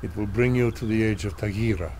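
An actor playing a solemn, stern old guide in a video game, speaking gravely and theatrically with halting, weighty pauses. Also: male voice, fairly low pitch, a little mellow, a little hiss or hum, close to an everyday voice. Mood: grave, brooding. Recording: music behind the voice.

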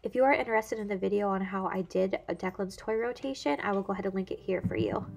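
A woman's voice: medium pitch, quick pace, vocal fry, over loud music. A woman in her thirties, a home vlogger, chatting casually and filling her talk with "like" and "honestly". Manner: chatty, relaxed, many "like" and "honestly" fillers. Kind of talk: casual chat.

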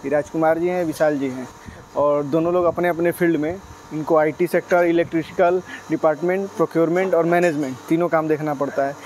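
A man's voice: on the high side, darkish tone, slight background noise, low-quality recording. A man in his thirties, outdoors at night beside a delivery van, explaining a dairy business at length with frequent "uh" fillers and English business terms. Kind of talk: casual interview answers.

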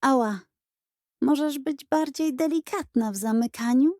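A woman voicing a dramatic, easily indignant female giraffe in a children's audio story, with theatrical, expressive delivery. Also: high-pitched, unhurried, no echo, clean studio sound, pitched above the performer's own voice. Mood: pained, indignant.

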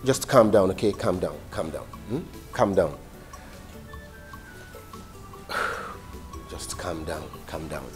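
A man with a Nigerian accent playing a caring older brother who calms his sister down on the phone, repeating himself gently. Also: fairly low pitch, measured pace, over music. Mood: soothing. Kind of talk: Nollywood drama acting.

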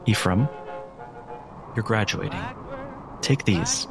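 A man playing a calm, controlling asylum doctor who speaks in a measured, deliberate way with soothing authority. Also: lowish voice, music in the background.

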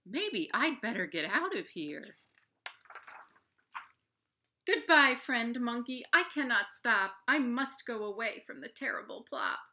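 A woman's voice: a little high, sing-song, slow, long pauses, bright, forceful tone, pitched above the performer's own voice, heavily acted. A woman voicing a nervous fox in a storybook, anxious and worried. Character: nervous fox fleeing in fear.

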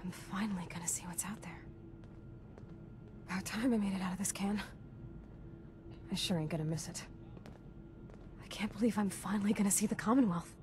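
A woman's voice: mid-range voice, a little fast, pauses often, background noise, close to an everyday voice. A woman playing a young vault dweller itching to leave, in casual, yearning video game dialogue. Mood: eager, wistful.

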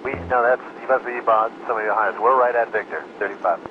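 A man flying as an airline pilot, giving quick, clipped readbacks to the ground controller over the radio. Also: medium pitch, steady tone, phone-quality sound. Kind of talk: air traffic control radio. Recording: noisy radio transmission.

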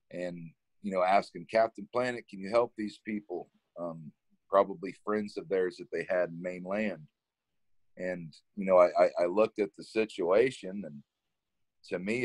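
A man from Kansas in his thirties with a deep voice, telling his story in a relaxed, casual way during an interview over a video call. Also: no room sound, rough recording. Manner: relaxed storytelling, laughs often, repeats words when starting. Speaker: environmental educator guest. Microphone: webcam on a video call.

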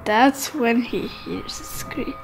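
A woman narrating a storybook for young children with expressive, deliberate phrasing. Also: fairly high pitch, creaky, noisy background.

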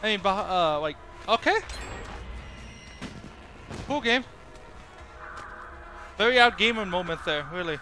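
A man's voice: sits high, lively intonation, slow pace, pauses often, bright, forceful tone, faint music behind. A man giving casual live commentary as he streams a game, muttering mildly frustrated asides to himself. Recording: clear game noise behind voice. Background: horror game sound effects and ambience.

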